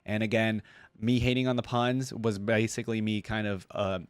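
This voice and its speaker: Male reaction host, lower register, conversational and loosely unscripted, recorded dry. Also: little pitch movement, normal speed, smooth, dry recording. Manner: rambling, conversational. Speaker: video game reaction host. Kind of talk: casual unscripted commentary to viewers.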